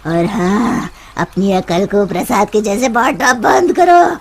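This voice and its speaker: A woman voicing a haughty, menacing river witch in a cartoon story, imperious and taunting with drawn-out threats. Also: medium pitch, very animated, slightly rough, exaggerated voice. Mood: angry, bossy. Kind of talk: Hindi cartoon story voice acting. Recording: noticeable background noise.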